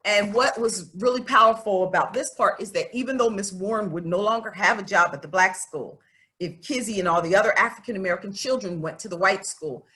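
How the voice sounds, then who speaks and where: A woman in her fifties reading aloud for children, pausing to explain the story and address her listeners directly. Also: medium pitch, fairly bright tone. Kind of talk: read-aloud with explanatory asides to young listeners.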